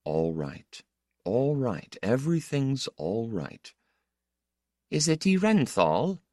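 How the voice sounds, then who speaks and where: Casting: a man to play an elderly storybook mother, pitched high, soft and exultant, soothing with a possessive pull. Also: very animated, takes it slowly, no room sound, clean studio sound. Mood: soothing concern.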